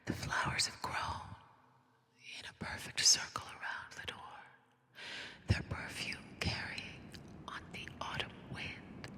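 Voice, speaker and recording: Female ASMR storyteller, crisp bright whisper, deliberate scripted narration with dramatic pauses, close binaural mic. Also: in a large hall. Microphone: close binaural microphone.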